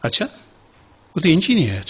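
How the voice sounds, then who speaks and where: A man acts a kindly senior doctor in an audio drama. His husky, slightly high voice moves expressively as he advises with measured authority, slipping in English phrases. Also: wildly swooping pitch, a bit bright, exaggerated voice. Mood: authoritative, kindly. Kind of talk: Bengali audio drama acting.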